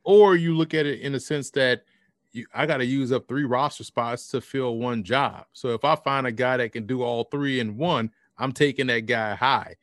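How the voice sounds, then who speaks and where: A man's voice: mid-range voice, normal speed, dry recording, clean studio sound. A man from New Orleans appearing as a football analyst guest on a sports podcast, explaining his views and often restarting phrases. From New Orleans, Louisiana.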